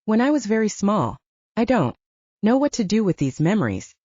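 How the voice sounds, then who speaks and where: A woman voicing an earnest, haunted young male half-werewolf in an audiobook, speaking in a halting, reflective way. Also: mid-range voice, very animated, warm tone, dry recording, voice pushed up. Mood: hesitant, searching. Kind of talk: audiobook character dialogue. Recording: dull, lo-fi, narrow sound.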